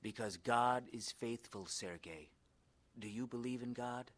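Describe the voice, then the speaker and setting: An actor playing a kindly, patient church pastor who speaks in a gentle, reassuring way in a dramatized story. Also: male voice, mid-range voice, unhurried, lightly acted. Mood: gently probing. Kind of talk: dramatized scripted dialogue.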